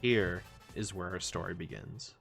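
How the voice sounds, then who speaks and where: Male video essay narrator, low voice, informal storytelling delivery, light music bed. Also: a little slow, creaky, a bit bright. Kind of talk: informal scripted narration of a comic.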